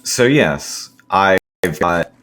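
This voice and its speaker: Hoarse, low-voiced man rambling slowly. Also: lively intonation, fairly bright tone. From Seattle area, US. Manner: rambling, many ums and self-corrections. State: hoarse, sore throat. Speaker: gaming video host. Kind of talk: casual gameplay commentary.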